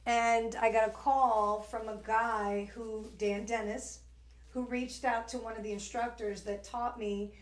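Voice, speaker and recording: A woman's voice: on the high side, little pitch movement, unhurried, reverberant. A woman in her forties from New York with an East Coast American accent, hosting a live shopping stream in a chatty, rambling way with lots of fillers. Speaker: shopping channel host.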